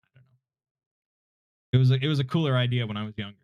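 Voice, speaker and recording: A man's voice: mid-range voice, fast, darkish tone, clean tone, no echo. A man of thirty answering viewer questions in a casual, hesitant, self-deprecating chat, with a relaxing voice on a slightly muffled desk mic. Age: 30s. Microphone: desk microphone without his usual equalizer, slightly muffled.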